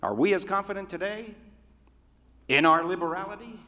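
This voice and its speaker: A rabbi giving a sermon in a measured, oratorical way, with deliberate pauses. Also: male voice, high-pitched, sharp tone. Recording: reverberant hall.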